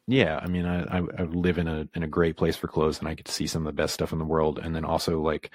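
Low-voiced Southern man, rambling chat. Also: no room sound, studio quality. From Southern United States. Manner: rambling, many fillers and restarts, laughs often. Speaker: podcast guest. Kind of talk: casual conversation.

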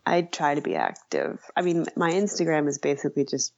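Creaky-voiced woman, low and hesitant, lo-fi. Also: huge pitch swings. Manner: halting, self-deprecating, trailing off. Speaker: interview guest, author. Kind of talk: casual conversational interview.

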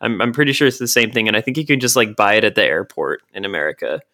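A young man co-hosting a casual podcast chat, with a gravelly just-woken voice and a rambling, halting, dry-humored way of talking. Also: fairly low pitch, sharp tone. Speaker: podcast co-host.

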